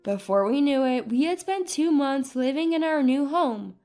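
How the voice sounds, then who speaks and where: A woman's voice: high-pitched, animated, normal speed, darkish tone. A woman reading a scripted first-person story as narrator. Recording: music bed behind voice. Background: music.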